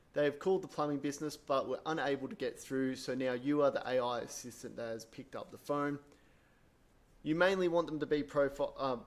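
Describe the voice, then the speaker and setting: A man presenting a software tutorial in an informal, explanatory way, thinking aloud as he works through each step. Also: medium pitch. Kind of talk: casual screen-share software tutorial.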